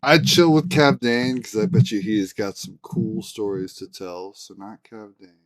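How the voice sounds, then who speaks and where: A man appearing as a guest on a casual podcast, musing and thinking out loud. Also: on the low side, lively intonation, crowd noise, poor audio. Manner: slow and musing, thinks aloud. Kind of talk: casual chat.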